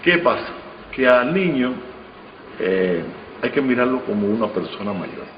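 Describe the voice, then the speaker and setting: A man talking reflectively in an interview, in a measured, didactic way, like a director who teaches acting. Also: mid-range voice, sing-song. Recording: reverberant room, muffled, faint noise.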